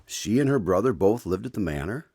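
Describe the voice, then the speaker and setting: A man's voice: fairly low pitch, lively intonation, brisk, mellow, breathy edge, no echo, clean studio sound. A man playing an eager, inquisitive host in a radio drama, speaking formally as announcer and listener. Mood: curious. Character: eager male host visiting a doctor to hear his stories.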